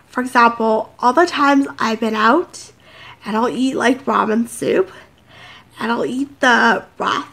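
A woman's voice: a little high, takes it slowly, gravelly, fairly bright tone. Chatty congested woman in her thirties. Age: 30s. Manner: rambling, chatty, many fillers. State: congested, nasal. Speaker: vlogger. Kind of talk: casual sit-down chat to camera. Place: home office, indoors.

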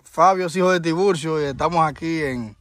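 Dominican male vlog host, easygoing, slightly raised pitch, chats with locals outdoors. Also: a little fast, fairly bright tone. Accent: Dominican Spanish. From Dominican Republic. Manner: friendly, addresses viewers as "señores". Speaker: vlogger host. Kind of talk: casual on-location interview. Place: outdoors in rural hills.

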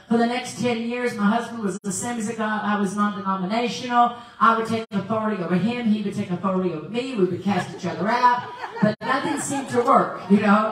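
Woman in her 60s, high voice, storytelling evangelist, far-off roomy church sound. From South Africa. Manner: animated storytelling with laughs. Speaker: guest evangelist. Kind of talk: preaching sermon. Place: large church sanctuary, indoors. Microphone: handheld mic, filmed by far camera. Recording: very echoey hall from far off.

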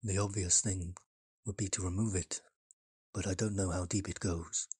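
A knowing island healer in an audio drama. His voice is deep and heavily rasped. He states grim facts plainly and ominously. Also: steady tone, moderate pace, darkish tone. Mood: grave, matter-of-fact.

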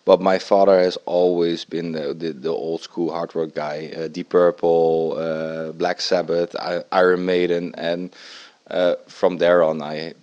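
Very low male voice, opinionated, dull lo-fi sound. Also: flat delivery, unhurried, darkish tone. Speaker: band member. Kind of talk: casual interview answers. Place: festival backstage room, indoors.

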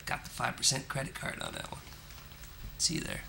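A man's voice: mid-range voice, huge pitch swings, a little fast, creaky, pushed and bright, a little hiss or hum. A man filming his own road trip, chatting casually to the camera with joking asides. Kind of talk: casual self-narration to camera. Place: on the road and in a parking lot.

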